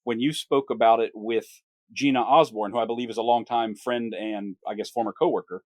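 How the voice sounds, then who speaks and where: A man's voice: mid-range voice, steady tone, fairly quick, dry recording. Upbeat male podcast host, dry sound. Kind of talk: podcast interview with a sponsor read.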